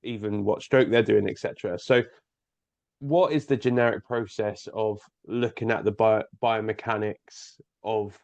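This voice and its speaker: A man's voice: lowish voice, fairly even intonation, measured pace, gravelly, a little mellow, no room sound. A man hosting a podcast, asking long, hedging questions with restarts. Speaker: podcast host. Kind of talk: conversational interview questions. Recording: dry, dull lo-fi audio.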